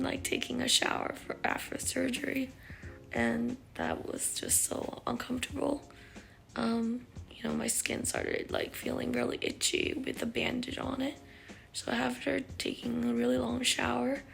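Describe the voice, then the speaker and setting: Woman, vlog check-in, raspy, very slow, hesitant, lots of fillers. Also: fairly high pitch. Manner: halting, filler-heavy, soft. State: recovering voice after neck surgery. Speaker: vlogger. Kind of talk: casual recovery check-in.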